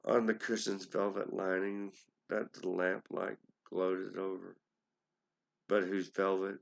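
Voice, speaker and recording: Slow, bright-voiced man reciting gothic poetry. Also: low voice, vocal fry. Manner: dramatic, halting delivery. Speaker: amateur poetry reader.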